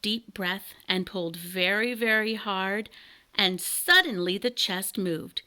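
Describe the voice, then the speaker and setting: A woman reading a children's story aloud as an expressive narrator. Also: a little high. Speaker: children's book reader.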